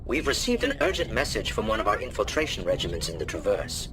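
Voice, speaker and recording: Formal alien councilor. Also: male voice, on the low side, huge pitch swings, noisy background. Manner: formal, measured briefing.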